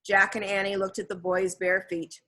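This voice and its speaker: A woman narrates a children's chapter book at a medium pitch. Her reading is scripted and expressive, in the manner of an audiobook. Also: normal speed. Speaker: audiobook reader.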